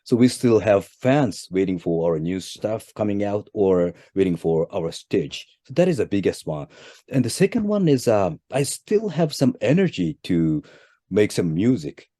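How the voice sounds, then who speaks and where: Japanese-accented male guest, lively pitch, mellow tone, dry close sound. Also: no echo. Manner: halting, repeats words, pitch jumps up at each new point. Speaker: band leader, interview guest. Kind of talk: casual interview answers.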